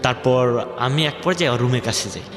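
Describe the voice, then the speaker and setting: Over a clear music bed, a man with a Bangladeshi accent recounts his own life in colloquial, dialect-tinged speech. His medium-pitched voice is washed in long, hall-like reverb. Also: a little fast. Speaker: guest telling his life story. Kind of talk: informal personal storytelling.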